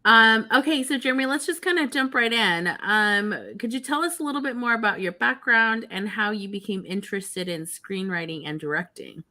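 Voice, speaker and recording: A woman's voice: on the high side, normal speed, hardly any pauses, pushed and bright. A woman with an American accent hosting a community talk show in an informal, conversational way.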